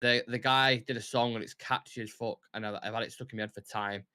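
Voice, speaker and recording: A man's voice: mid-range voice, fairly even intonation, fast talker, sharp tone, clear, resonant tone. A young man with a Mancunian accent hosting a live football watch-along, chatting and commentating casually in a blunt, sweary, opinionated way.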